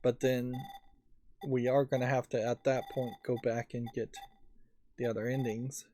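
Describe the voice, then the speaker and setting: Mellow man musing over gameplay. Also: medium pitch, flat delivery, a little hiss or hum. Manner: halting, musing, thinking aloud. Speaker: let's play host. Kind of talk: unscripted gameplay commentary. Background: faint game music.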